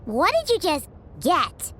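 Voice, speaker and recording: Squeaky, pert little girl companion. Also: wildly swooping pitch, takes it slowly, sharp tone, slight background noise, exaggerated voice. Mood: pert, questioning. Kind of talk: video game voice acting.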